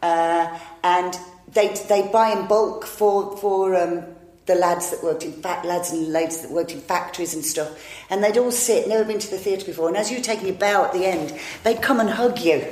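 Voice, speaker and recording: A woman's voice: fairly low pitch, normal speed, a little mellow. A woman in her fifties, an actress, telling long rambling anecdotes in a chatty, self-deprecating way. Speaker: actress and improviser, interview guest. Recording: echoey room.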